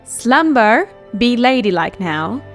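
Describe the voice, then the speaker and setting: A woman's voice: fairly high pitch, sing-song, slow, heavily acted. Woman voicing composed mother pony, regal, fondly teasing, very varied intonation, cartoon audio drama, music behind. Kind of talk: animated audio drama acting.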